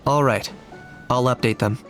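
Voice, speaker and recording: Man playing a low-key, mid-pitched game character. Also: steady tone, normal speed, a bit bright, soft background music, lightly acted. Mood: matter-of-fact. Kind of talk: video game voice acting.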